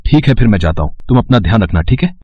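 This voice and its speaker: An earnest young husband in a cartoon moral tale, acted by a man. He is affectionate and trusting, talking fast with very animated pitch. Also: dry recording, dull audio. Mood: concerned. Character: caring, trusting young husband and dutiful son. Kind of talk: animated moral story dialogue.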